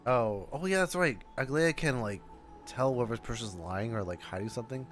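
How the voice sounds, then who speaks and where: Animated man reacting to a game. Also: mid-range voice. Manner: halting, repeats phrases. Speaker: streamer. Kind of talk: casual game reaction commentary. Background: game music.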